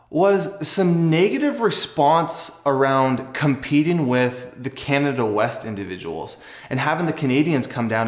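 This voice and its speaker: A man's voice: a little high, echoey room. A young man telling a personal story casually to camera, earnest, conversational and reflective. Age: young adult. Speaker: athlete and video host.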